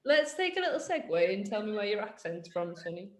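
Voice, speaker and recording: A woman's voice: mid-range voice, very animated, brisk. A British woman hosting a casual fitness podcast, chatty and joking in a self-mocking way. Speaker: podcast host, personal trainer. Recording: roomy echo.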